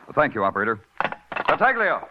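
An actor playing a hard-boiled police detective who narrates in a dry, wisecracking way in an old radio drama. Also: male voice, mid-range voice, huge pitch swings, fast talker, noisy background. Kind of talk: 1940s radio acting. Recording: dull old radio recording with music.

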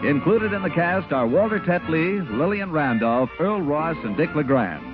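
Old time radio announcer guy, folksy pitch, super lively, tinny sound w music under. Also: moderate pace. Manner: folksy, polished salesman. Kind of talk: 1940s radio announcing and sponsor ads.

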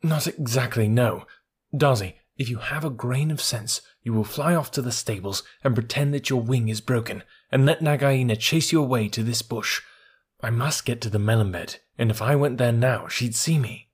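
A man voicing a small, brave male mongoose with a husky voice, talking sharply and urgently. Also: mid-range voice, moderate pace, lightly acted. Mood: impatient, urgent.

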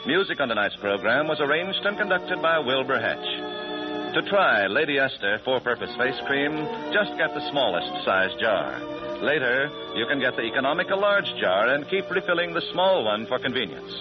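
A man's voice: mid-range voice, big pitch swings, normal speed. A man reading scripted announcements in a polished, formal 1940s radio style. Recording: muffled 1940s radio broadcast with music.

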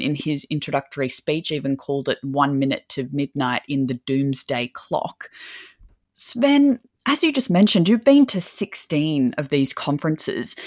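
A woman hosting a podcast, reading a scripted introduction and asking questions in a clear, measured way. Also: low-pitched, a little mellow. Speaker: podcast host. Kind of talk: scripted podcast narration and interviewing.

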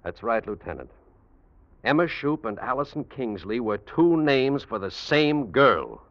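An actor playing an earnest, wronged rival who speaks pointedly, in 1940s radio drama style. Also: male voice, medium pitch, very animated, a little slow, very noisy. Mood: pointed, triumphant. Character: wronged male rival, earnest, quietly triumphant accuser. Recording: muffled vintage radio recording.

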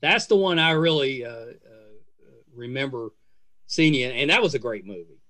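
A man's voice: mid-range voice, lively intonation, moderate pace, pushed and bright, no room sound. An American man in his fifties hosting a casual interview, chatty and rambling, with hesitant phrasing. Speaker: podcast host.

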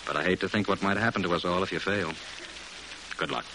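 A man's voice: low voice, fast talker, gravelly. A man playing a grave military officer in a classic radio drama, giving a briefing in a clipped, emphatic, solemn way. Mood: somber. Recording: faintly noisy old radio recording.

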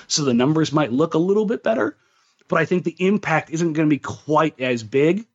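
A man's voice: fairly high pitch, lo-fi sound. A man co-hosting a sports podcast, giving casual, opinionated basketball analysis and repeating words emphatically as he reasons.

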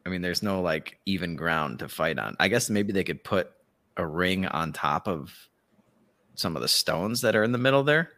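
Low-pitched man in casual podcast banter. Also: animated, moderate pace, bright, forceful tone. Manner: informal, slangy, opinionated. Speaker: podcast co-host.

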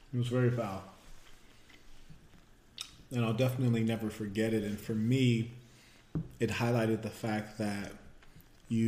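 A man's voice: fairly low pitch, echoey room. A man chatting casually and candidly as host, talking about his own book. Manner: relaxed, candid, with casual profanity. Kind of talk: casual chat.

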